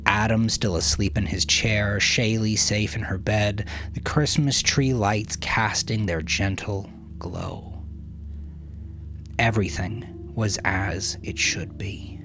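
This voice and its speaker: A man narrating dramatic fiction from a script in a measured, solemn manner, with hushed phrase endings. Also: lowish voice. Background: music.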